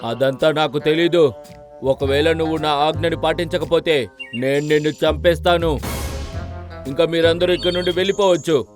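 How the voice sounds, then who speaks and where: A man's voice: fairly high pitch, steady tone, normal speed, close to an everyday voice. A man voicing a boastful, bossy cartoon donkey who poses as a lion king, with a pompous, commanding manner. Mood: threatening. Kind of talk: children's cartoon story dubbing. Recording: music bed behind the voice.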